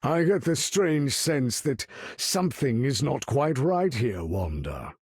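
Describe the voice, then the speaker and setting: An actor voicing an imperious, weary older mutant leader in a video game, with grandiose, formal, commanding speech and a gravelly tone. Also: male voice, medium pitch, very animated, takes it slowly, darkish tone, no room sound, studio quality, heavily acted. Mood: grave, resolute. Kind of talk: video game character voice lines.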